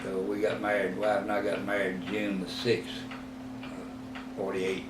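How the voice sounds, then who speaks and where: A man in his eighties, slowly recalling his past in an interview, rambling and halting with many fillers and self-corrections. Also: on the low side, monotone. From Texas. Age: 80s. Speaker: oral history interviewee. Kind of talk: reminiscing in a recorded interview. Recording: roomy, distant mic with clear background noise.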